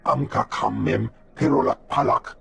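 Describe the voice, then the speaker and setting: An actor voicing a male alien analyst in a video game, speaking guttural invented syllables in short phrases with a gravelly voice. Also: medium pitch, normal speed, a bit bright, exaggerated voice. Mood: businesslike. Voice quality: gravelly, creaky.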